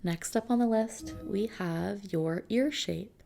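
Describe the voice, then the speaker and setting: A woman doing an ASMR roleplay as a customization consultant, talking softly and unhurriedly to a customer in a gently explanatory, reassuring way. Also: mid-range voice, animated, takes it slowly, gravelly. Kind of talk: ASMR roleplay, soft customer-service talk.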